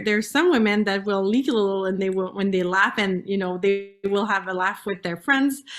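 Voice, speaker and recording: A woman's voice: medium pitch, a bit bright. A woman from Canada with a French-Canadian accent, a native French speaker explaining casually as a health specialist guest, sometimes searching for words. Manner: hesitant, searching for words in second language. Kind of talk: casual explanatory interview talk.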